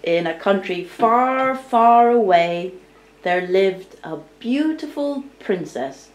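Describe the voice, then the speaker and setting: Slow-paced woman storyteller in an echoey room. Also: mid-range voice, animated. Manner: deliberate, theatrical storytelling. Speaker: storyteller narrating a puppet show. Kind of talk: live storybook narration. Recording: roomy, distant amateur recording.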